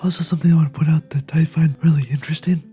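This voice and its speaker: Raspy, whispery soft-spoken host. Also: medium pitch, steady tone, racing, faint music behind. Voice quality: raspy, quiet, fragile voice. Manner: soft near-whisper delivery, frequent "right?" asides. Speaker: video essay host reacting to a game scene. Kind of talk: casual spoken analysis.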